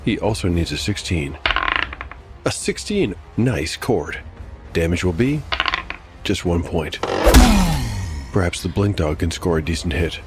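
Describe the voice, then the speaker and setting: A man with a very low voice works as game master and narrator over prominent background music. He tells the fight like a dark story, then steps out with wry comments and mild exclamations about the dice. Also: expressive, a little slow, pauses often.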